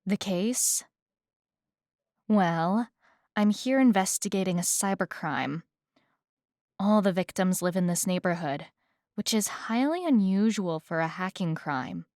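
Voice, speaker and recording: Slow-talking, flirty female police detective, acted by a woman. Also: mid-range voice, animated, darkish tone, no echo, studio quality, lightly acted. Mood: composed, official. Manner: slow, teasing, coy delivery with pauses. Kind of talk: ASMR roleplay, spoken directly to the listener.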